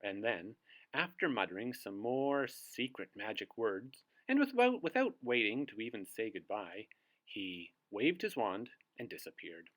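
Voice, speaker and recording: Lively man reading a children's storybook. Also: lowish voice, unhurried, poor audio. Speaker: storybook reader and co-host. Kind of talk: animated children's read-aloud.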